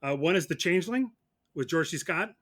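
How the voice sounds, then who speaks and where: A man's voice: a little high, expressive, a little fast, fairly bright tone. A man speaking as a guest on a podcast, in casual conversation. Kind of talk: casual chat.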